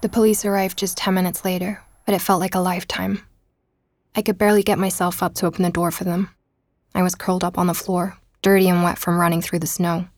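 A woman's voice: lowish voice, flat delivery, quick pace, no echo, close to an everyday voice. An actress playing an anxious young woman investigator in an audio drama, speaking in a hesitant, naturalistic way. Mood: shaken, subdued narration. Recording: faint background noise.

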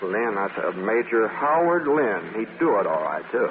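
An actor in a 1940s radio play, playing a wry, charming magazine writer with a hesitant, drawling way of talking. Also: male voice, fairly low pitch, big pitch swings, normal speed. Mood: wry, scheming. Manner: hesitant, drawling, repeating himself. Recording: muffled, crackly 1940s radio recording.

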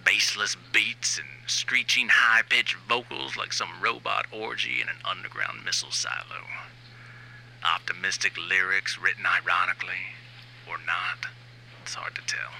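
A man's voice: deep voice, creaky, sharp tone, slight background noise, heavily acted, poor audio. A man playing a self-important, sleazy new wave band frontman in a rambling, conversational comic monologue. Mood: pitching, smug. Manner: rambling, smug, halting asides. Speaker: writer and reader of a comic fiction series.

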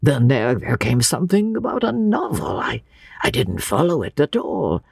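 A performer voicing a frail, gentle old man who speaks haltingly and in anguish, as in a dramatic audiobook. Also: medium pitch, big pitch swings, gravelly, breathy edge, no echo, clean studio sound, full character voice. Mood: rambling, resigned. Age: older. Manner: halting, drawn-out, anguished delivery. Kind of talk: dramatic audiobook character reading.

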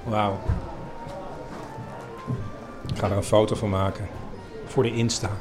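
A man's voice: fairly low pitch, level tone, unhurried. A man hosting a podcast, casually reporting on the spot. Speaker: podcast host. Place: mostly outdoors at a small football ground. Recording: outdoor football crowd, music behind.

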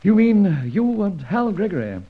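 A man acting a suave, self-assured gentleman adventurer in an old-time radio drama, breezy and urbane in his old-fashioned phrasing. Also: sits high, very animated, measured pace, full character voice. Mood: assured, teasing. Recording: dull, lo-fi old radio recording.

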